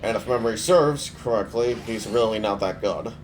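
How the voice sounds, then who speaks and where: Young male gamer, stuffy with a cold, low-pitched, offhand play-by-play, faint hum behind. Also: moderate pace. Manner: relaxed, offhand, thinking aloud. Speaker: mobile game player. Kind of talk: casual gameplay commentary.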